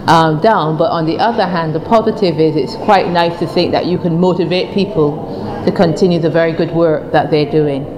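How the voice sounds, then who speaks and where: A woman's voice: deep voice, normal speed. A woman speaking reflectively in an informal, conversational interview style. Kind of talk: casual reflective interview answer. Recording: noisy crowd in the background.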